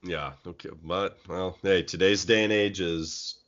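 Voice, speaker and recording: A man's voice: low voice, unhurried. A young man co-hosting a podcast, chatting casually and giving opinions with plenty of fillers. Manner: animated, opinionated, many fillers. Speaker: podcast co-host. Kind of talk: casual chat. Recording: dull lo-fi sound.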